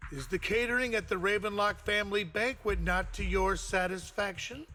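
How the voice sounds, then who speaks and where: An actor playing a scheming, greedy older man who speaks slowly and mockingly, in game audio with music behind. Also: high-pitched, sharp tone. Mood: menacing. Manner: slow, mocking, menacing.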